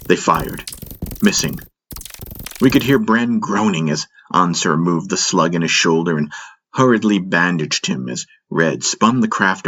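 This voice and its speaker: A man reading dramatic first-person story narration as an audiobook narrator, with measured, deliberate phrasing. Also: low-pitched, fairly bright tone, slight background noise.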